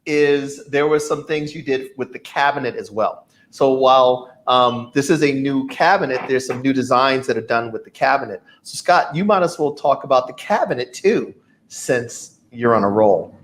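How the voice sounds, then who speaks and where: A man's voice: mid-range voice, normal speed, slight background noise. A man hosting a product event chat, enthusiastic and filler-heavy as he leads the talk. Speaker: host.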